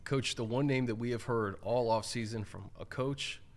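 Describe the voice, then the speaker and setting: A man's voice: medium pitch, moderate pace. An American man hosting a sports podcast, asking questions in a conversational interview style.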